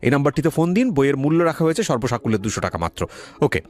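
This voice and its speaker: A man's voice: medium pitch, big pitch swings, rapid-fire. A man with a Bangladeshi accent hosting a radio show, questioning a guest and addressing listeners. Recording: music under the voice. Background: background music.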